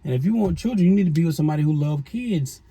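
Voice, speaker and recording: Gravelly, husky man giving advice. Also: a little high, lively intonation, very fast, dark tone. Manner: folksy and preachy, addresses the audience as y'all. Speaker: co-host of a relationship advice show. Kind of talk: casual advice chat to viewers.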